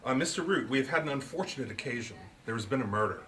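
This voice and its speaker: A man's voice: medium pitch, lively intonation, fast talker, a bit bright, a little hiss or hum, lightly acted, low-quality recording. A man voicing a composed young female detective in a comic mystery story, asking polite, probing, formal questions.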